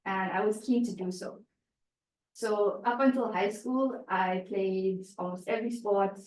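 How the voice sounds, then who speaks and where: A woman's voice: medium pitch, measured pace, darkish tone, recorded from a distance, slight background noise. A woman delivers a prepared speech, telling her story and putting questions to the audience. She sounds set back from the mic in an echoey room. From South Africa. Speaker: club speaker.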